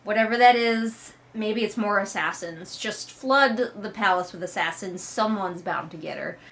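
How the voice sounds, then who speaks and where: A woman's voice: medium pitch, normal speed, gravelly, a bit bright. A woman giving a casual comedic commentary as a read-along host, sarcastic, emphatic and exasperated, with a conversational, sweary way of talking.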